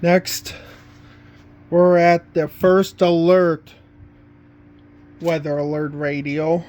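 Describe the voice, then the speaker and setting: Male hobbyist vlogger, lightly raised pitch, very unhurried and halting, plain-spoken casual walk-through at home. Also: completely flat delivery, a little mellow. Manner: halting, plain-spoken, pausing mid-sentence. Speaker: hobbyist vlogger showing a collection. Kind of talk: casual unscripted home video tour. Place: indoors at home, walking between rooms.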